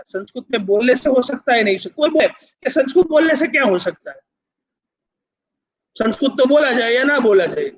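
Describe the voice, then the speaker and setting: Male lecturer, Gujarati accent, high-pitched, emphatic and repetitive phrasing with English terms, muffled low-fidelity recording. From Gujarat, India. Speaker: university vice-chancellor, speaker. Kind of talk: public lecture, explanatory and persuasive.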